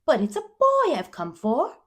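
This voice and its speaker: A woman's voice: sits high, wildly swooping pitch, measured pace, voice pushed up, heavily acted. A woman voicing a shy, kindly farm man in a storybook, with a hesitant, homely, understated way of talking. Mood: puzzled.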